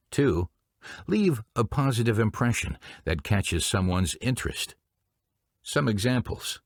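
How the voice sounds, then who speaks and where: A man presenting business training in scripted instructional narration, speaking in a formal, deliberate way. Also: on the low side, no room sound, studio quality.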